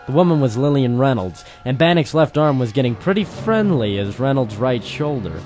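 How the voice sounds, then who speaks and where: A man's voice: mid-range voice, expressive, normal speed, darkish tone, soft background music, close to an everyday voice. A man playing a hardboiled private eye, narrating dramatically and wryly in the style of an old-time noir radio drama. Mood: wry, watchful. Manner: wry, dramatic first-person storytelling. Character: hardboiled male private eye narrating his own case.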